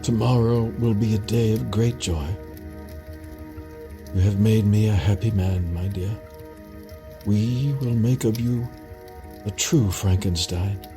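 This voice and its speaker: A man's voice: lowish voice, slow pace, long pauses. Doting, stately elderly father, music behind. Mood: pleased, tender. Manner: stately, formal. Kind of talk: audio drama acting.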